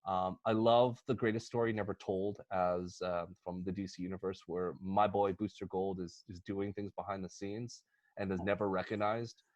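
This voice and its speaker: A man on a podcast panel pitching movie ideas casually and comedically, rambling excitably and acting out little imagined quotes. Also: normal speed, no room sound, highs cut off.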